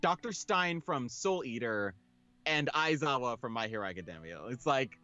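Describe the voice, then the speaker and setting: Male let's play host, animated and expressive, swinging intonation, casual commentary, slightly muffled recording. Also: mid-range voice, moderate pace. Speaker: game streamer reading a visual novel aloud. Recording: dull audio with music underneath.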